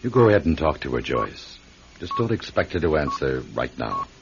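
Radio drama doctor, calm and kindly firm, expressive delivery with a creaky edge. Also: male voice, rumbling low, heavily acted. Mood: gentle, steady. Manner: measured, reassuring, firm. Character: calm, reassuring male doctor, gently authoritative. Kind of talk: classic radio drama acting. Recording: dull, noisy old radio recording.

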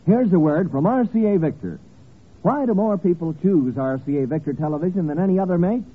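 A man's voice: high-pitched, lively intonation, fairly quick, breathy edge. An American man reading a scripted radio advertisement as announcer, with emphatic, polished salesmanship. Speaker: commercial announcer. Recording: tinny vintage radio broadcast.

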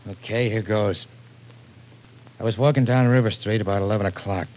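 An actor playing a surly, sardonic American small-time crook in an old-time radio crime drama, talking in tough slang. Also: male voice, on the low side, brisk, a little mellow, lightly acted. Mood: gruff, guarded. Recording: noisy vintage radio recording.

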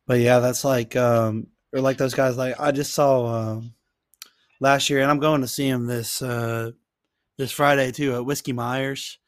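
A chatty male interview host of medium pitch who laughs often and fills his questions with "um" and "like". The sound is dry and close to the mic. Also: level tone, unhurried, dry recording. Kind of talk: casual interview chat.

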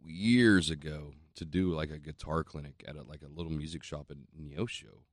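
A man's voice: rumbling low, steady tone, moderate pace, dry recording, studio quality. A man, a musician, telling a rambling, self-mocking story in casual chat, swearing and laughing easily.

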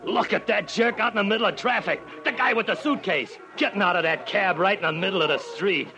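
Wheedling, folksy street beggar. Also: male voice, high voice, a little fast, over music. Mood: excited. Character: sly, chatty street beggar feigning blindness, male. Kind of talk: 1940s radio drama acting. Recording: noisy old radio recording.

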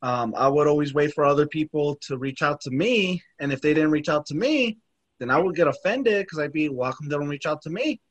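A young man with a fairly high voice talks reflectively, hesitating with plenty of "um" and "like" as he shares his experience in a relaxed podcast conversation. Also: a little fast, dull audio. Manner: reflective, hesitant, lots of fillers. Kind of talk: casual chat.

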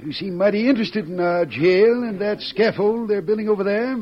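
Radio western sheriff played by a man: high-pitched for a man, blunt, folksy, distrustful. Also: brisk, background noise, lightly acted. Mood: suspicious. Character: suspicious, blunt small-town western sheriff. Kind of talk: old-time radio western acting. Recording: muffled vintage radio, faint music.

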